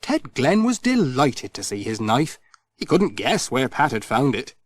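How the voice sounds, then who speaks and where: Male audiobook narrator for children's storybook, highly varied intonation, expressive storytelling, dry recording. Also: a little slow, no echo.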